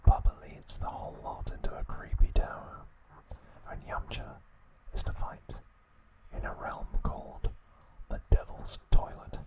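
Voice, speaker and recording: A man speaks entirely in a breathy whisper, in the style of ASMR narration. His delivery is hushed and measured, broken into short phrases as he recaps in a storytelling way. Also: poor audio. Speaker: host of an ASMR trivia channel.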